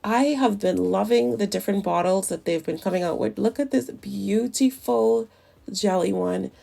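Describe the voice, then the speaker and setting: A woman's voice: mid-range voice, moderate pace, gravelly, mellow. A woman narrating a casual nail tutorial in a chatty, enthusiastic way, her voice a little off as it recovers from the flu. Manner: chatty, enthusiastic about products.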